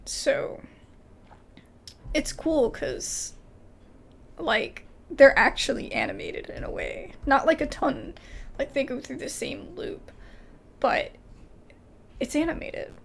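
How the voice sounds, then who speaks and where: A woman with a high voice that often drops into vocal fry. She narrates a video game casually, reading lines aloud and adding informal exclamatory comments. Her intonation is animated, and there is faint noise behind her. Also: slow pace, long pauses. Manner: informal, exclamatory asides with frequent "like".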